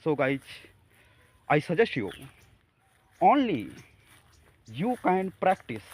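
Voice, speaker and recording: A man from India with an Indian accent, a Hindi speaker, talking haltingly to camera about practicing English, with many fillers and restarts. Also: on the high side, low-quality recording. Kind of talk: casual talk to camera.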